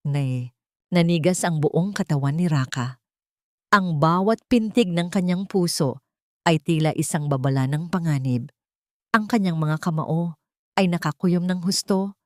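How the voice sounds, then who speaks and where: A woman's voice: lowish voice, measured pace, pauses often, darkish tone, dry recording, studio quality. A woman reading a dramatic story as an audiobook narrator in a solemn, melodramatic manner. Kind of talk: dramatic scripted narration of a Tagalog love story.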